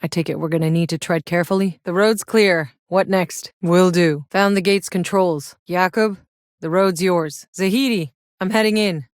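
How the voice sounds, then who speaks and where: A woman's voice: lowish voice, normal speed, dry recording, natural voice. An actress playing a tough, sardonic, weary but determined woman soldier in a video game, with a terse, dry, hard-edged delivery. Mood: businesslike. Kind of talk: acted video game voice lines.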